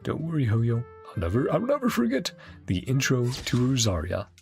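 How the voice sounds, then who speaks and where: A woman's voice: rumbling low, huge pitch swings, normal speed, darkish tone. A young adult woman streamer giving chatty reaction commentary, humming along and reading lines aloud. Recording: game music playing behind.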